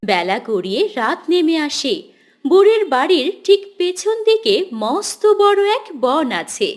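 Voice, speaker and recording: A woman's voice: sits high, very animated, measured pace. A woman narrating a scripted children's cartoon story as its storyteller.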